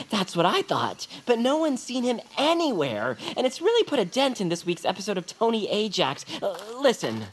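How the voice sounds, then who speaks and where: A woman's voice: mid-range voice, very animated, normal speed, hardly any pauses, slight background noise, lightly acted. A woman voicing an earnest, worried young peepkin friend in an audio fiction comedy.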